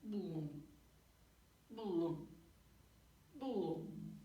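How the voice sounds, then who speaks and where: A woman's voice: lowish voice, lively intonation, mellow, pitched below the performer's own voice. A woman doing a glum pouting fish. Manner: slow mournful sing-song refrain. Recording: echoey room, distant mic.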